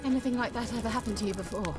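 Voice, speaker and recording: A woman's voice: fairly high pitch, very fast, music in the background, natural voice. A woman playing a confident, commanding sorceress in a video game, assured and wryly teasing. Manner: assured, wry, probing. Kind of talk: video game cutscene acting.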